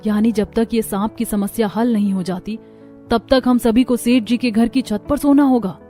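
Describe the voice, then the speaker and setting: A woman's voice: a little high, steady tone, moderate pace, warm tone, soft background music, natural voice. A woman playing an anxious, agreeable village housewife in a cartoon story, chatty and earnest. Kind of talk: Hindi cartoon story acting.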